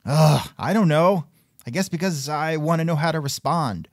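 A man's voice: a little high, normal speed, no room sound, clean studio sound. A man hosting a scripted comedic interview show, sardonic and increasingly exasperated. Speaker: podcast host.

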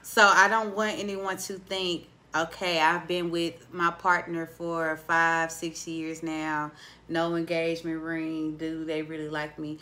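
A woman in her late twenties hosts a relaxed studio podcast, chatty and expressive with a playful teasing streak. Her voice sits a little low but rings bright and clear into a close studio mic. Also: a little slow. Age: 20s. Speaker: podcast host. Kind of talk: casual podcast interview. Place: small indoor studio. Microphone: studio mic on boom arm.

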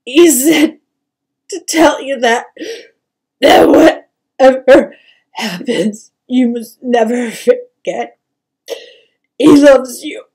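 A husky, fretful troll mother from a fantasy storybook, acted out by a woman narrator. She speaks slowly and emphatically, fussing and grieving over her child with tearful, loving weight. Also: mid-range voice, very animated, heavily acted, poor audio. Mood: grief-stricken.

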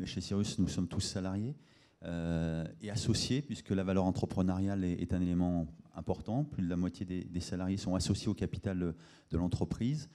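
Deep, very dark-toned halting male panelist. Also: fairly even intonation. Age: middle-aged. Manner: halting, repeats words. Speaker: commercial director, conference panelist. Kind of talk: panel discussion. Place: conference auditorium stage. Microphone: handheld microphone.

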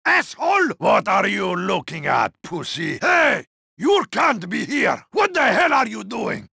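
An actor playing a hot-tempered, foul-mouthed gangster henchman in a video game gunfight, shouting threats in a gravelly, aggressive voice. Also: high-pitched, huge pitch swings, unhurried, dry recording, studio quality, heavily acted. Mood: angry. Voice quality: gravelly, raspy. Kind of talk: video game combat barks.

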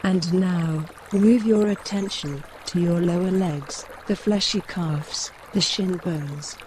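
Slow, soft-toned woman guiding meditation. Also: on the low side, slight background noise. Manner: gentle, measured, pausing between short phrases. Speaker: meditation guide. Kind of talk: guided mindfulness meditation. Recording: clear running stream water behind the voice. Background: trickling woodland stream.

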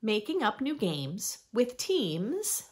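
A woman in her thirties reading aloud expressively for young children, with playful emphasis and deliberate phrasing. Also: on the high side, big pitch swings, slight background noise. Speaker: host of a children's read-aloud channel. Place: small furnished room indoors.

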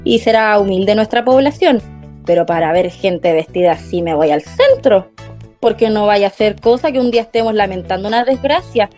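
A woman's voice: mid-range voice, moderate pace, dark tone, faint music behind, lightly acted. A woman with a Chilean accent playing a prudish, gossipy mother in a radio drama, indignant and reproachful.

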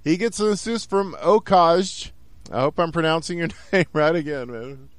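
Excitable Texan man calling a hockey game. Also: a little high, moderate pace, no room sound. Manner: excitable, rising to shouts on goals. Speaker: esports caster. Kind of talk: play-by-play commentary.

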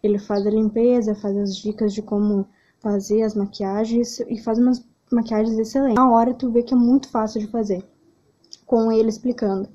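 A woman giving a relaxed, friendly selfie testimonial. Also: fairly high pitch, moderate pace. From Charqueadas, southern Brazil. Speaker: course student giving a testimonial. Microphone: phone at arm's length. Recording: dull lo-fi phone audio.

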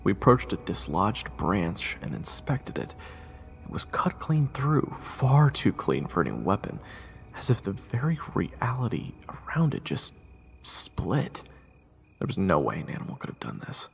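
A man's voice: on the low side, wildly swooping pitch, measured pace, creaky, full character voice. A man playing a curious, compassionate young army private who also narrates a fantasy audio drama. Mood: grave, narrating. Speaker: narrator and lead actor in an audio roleplay. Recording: reverberant, with music underneath.

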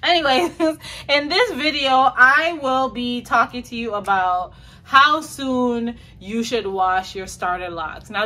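A woman's voice: medium pitch, sharp tone. A young woman from New York chatting to camera in her bedroom, talking casually with slang and playful asides. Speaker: hair vlogger. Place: small bedroom, indoors.

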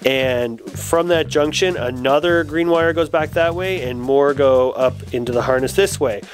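A man's voice: a little high, moderate pace, faint music behind. A man in his forties explaining garage work step by step in a casual, detailed, conversational way. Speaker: host of a motorcycle build channel. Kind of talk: casual explanatory workshop talk. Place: garage workshop with foam-padded wall.

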